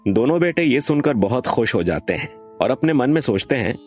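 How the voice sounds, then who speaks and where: A man's voice: medium pitch, expressive, moderate pace, darkish tone, faint music behind. A man reading scripted narration for a moral story.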